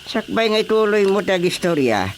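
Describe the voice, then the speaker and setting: Bemused village chairman, Ilocano accent, slightly high pitch, patient probing, radio drama comedy, faint noise. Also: very animated. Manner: drawn-out hums, probing questions.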